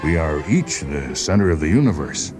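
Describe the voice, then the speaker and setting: A man playing a calm, reasoning doctor in a dramatized story, measured, hesitant and placating. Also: rumbling low, over music. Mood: soothing. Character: calm, reasoning male doctor humoring a troubled patient. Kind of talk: dramatized short story reading.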